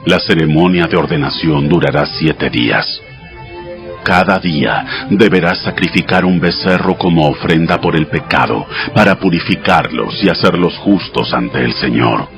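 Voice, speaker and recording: A man playing God as a solemn, commanding male deity, delivering measured commands in a dramatized audio scripture. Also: rumbling low. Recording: music behind the voice. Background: music.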